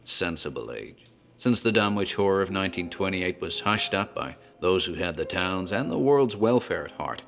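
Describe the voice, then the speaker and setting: Guy playing sly old-fashioned innkeeper spinning a horror yarn, low voice, swoops around, bit of music under him. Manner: deliberate, teasing, deferential. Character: talkative country tavern landlord, ominous storyteller, slyly courteous. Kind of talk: dramatic horror storytelling, audio drama.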